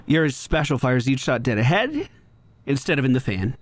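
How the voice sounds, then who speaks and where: A man's voice: medium pitch, very animated, normal speed, a little hiss or hum. A man giving casual running commentary as he plays a video game, talking to himself with exasperated exclamations and swearing. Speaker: gaming video creator.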